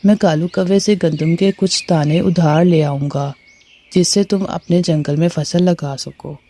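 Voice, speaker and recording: A woman's voice: fairly low pitch, fairly even intonation, a little slow, mellow. A woman playing a helpful, cautious male bird in a moral story cartoon, speaking earnestly. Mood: concerned, helpful.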